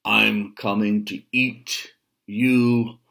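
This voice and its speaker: A big, hungry storybook troll acted out by a man reading aloud roars in slow, thundering threats. The delivery is drawn-out and menacing, stretching the words for weight. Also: on the low side, flat delivery, creaky, sharp tone, full character voice. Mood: roaring, menacing.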